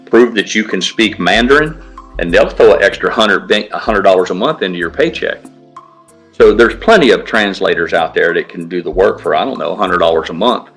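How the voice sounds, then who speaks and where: A man's voice: low-pitched, fairly bright tone. A man co-hosting a casual livestream, talking in a blunt, profane, ranting way. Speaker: livestream co-host. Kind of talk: casual stream chat.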